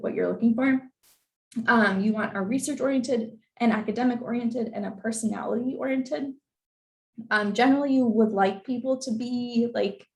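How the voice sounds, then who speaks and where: A woman's voice: on the high side, gravelly, a little mellow, echoey room, poor audio. A young woman who is losing her voice, presenting an informal info session in a chatty way with many fillers. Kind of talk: informal advice talk with slides.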